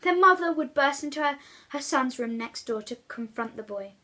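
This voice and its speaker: Female children's story reader, higher pitch, bright forceful tone, expressive delivery. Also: moderate pace. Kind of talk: expressive read-aloud storytelling for young children.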